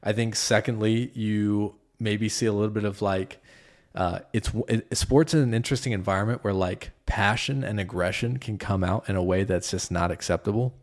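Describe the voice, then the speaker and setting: Podcast guest, male, deep and gravelly, halting and filler-heavy delivery. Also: fairly even intonation. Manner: hesitant, many fillers and restarts. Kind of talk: casual conversation.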